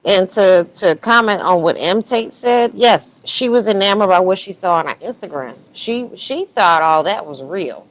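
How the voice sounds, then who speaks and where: A woman's voice: mid-range voice, lively intonation, normal speed, hardly any pauses. A woman gossiping casually on a livestream in a sassy, colloquial way, with plenty of rhetorical questions. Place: remote online livestream. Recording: dull lo-fi livestream audio.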